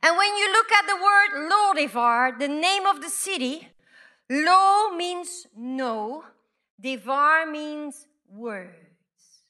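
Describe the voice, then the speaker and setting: A woman from Belgium preaching a sermon, repeating phrases and swinging from loud declarations to hushed whispers. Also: high-pitched, animated. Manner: slow, deliberate delivery with repeated phrases.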